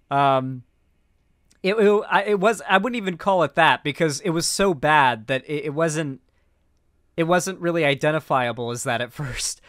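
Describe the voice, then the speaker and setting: A man in his twenties with an American accent, giving indignant, sarcastic reaction commentary as a live-streamer, halting and swearing, close on the mic. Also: a little high, a bit bright, no echo. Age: 20s. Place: small home streaming room. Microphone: close boom mic.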